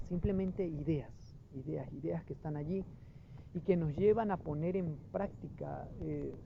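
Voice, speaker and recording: Gravelly man musing on spiritual ideas. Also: fairly high pitch. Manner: unhurried and searching, repeating phrases as he thinks aloud. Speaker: host of a spiritual talk. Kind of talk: reflective spiritual talk. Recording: dull lo-fi with clear background noise.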